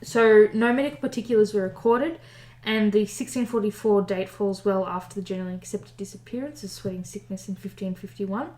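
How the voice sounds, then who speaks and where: A woman's voice: mid-range voice, brisk, darkish tone, reverberant, rough recording. A young woman co-hosting a casual podcast, reading history notes aloud, stumbling over the old wording and poking fun at herself. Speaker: podcast co-host.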